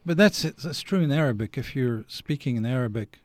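A man's voice: mid-range voice, expressive, fairly quick. A man hosting an interview who praises his guests' work before asking his questions. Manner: appreciative, leads with praise. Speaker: interview host.

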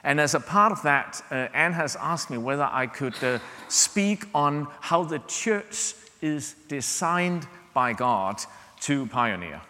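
Danish guy preaching, bright voice, chatty with lots of ums, echoey church reverb. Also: fairly high pitch, lively intonation, takes it slowly. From Denmark. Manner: conversational, many fillers and restarts, checks in with "yeah?". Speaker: visiting preacher. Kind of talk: sermon.

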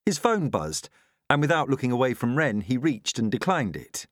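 Man narrating, lively pitch, dry sound. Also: moderate pace, no echo. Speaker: audiobook narrator. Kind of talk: scripted narration of a novel.

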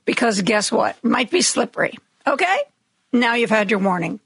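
A woman hosting a radio talk show, raspy from medication, giving chatty, wry news commentary with opinionated asides. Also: fairly high pitch, expressive, normal speed, a bit bright, no room sound. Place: radio studio.

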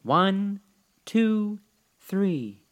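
A kindly little old woman played by a man, the good witch of a storybook. The voice is lifted a little and speaks with solemn, gentle reassurance. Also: mid-range voice, lively intonation, moderate pace, pitched above the performer's own voice, close to an everyday voice. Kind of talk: storybook character reading.

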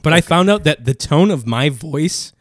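A young man with a mid-register voice that sits in the middle of the range and blends into background noise. He talks in a relaxed, rambling way, swears freely and often trails off and restarts. The sound is close and dry. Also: a little high, normal speed, no room sound. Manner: laid-back, swears casually, trails off and restarts. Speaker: podcast co-host. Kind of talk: casual chat.

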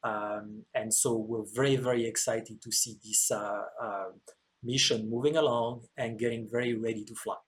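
A man with a French accent explains his engineering work in an interview, in a mid-range voice. He speaks earnestly and keeps pausing on "uh" fillers as he searches for words. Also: mid-range voice, fairly even intonation. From France. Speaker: antenna engineer, podcast guest.

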